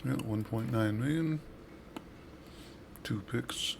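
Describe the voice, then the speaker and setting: A man's voice: medium pitch, slow. A man giving casual, offhand commentary as he plays a video game, with game noise behind him. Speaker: gaming video host. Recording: clear background noise from game.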